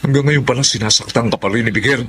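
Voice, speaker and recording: Guy playing a worried protective kuya in a tagalog drama, talks fast, slight noise behind. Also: medium pitch, fairly bright tone, close to an everyday voice. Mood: concerned, insistent. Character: protective, concerned older brother who sees through lies. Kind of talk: Tagalog television drama acting.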